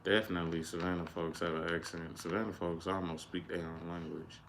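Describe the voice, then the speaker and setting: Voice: low-pitched, flat delivery, fairly quick, fairly bright tone, slight background noise. The host's partner joining the livestream chat, talking in a blunt, matter-of-fact way and swearing casually. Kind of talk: casual conversation.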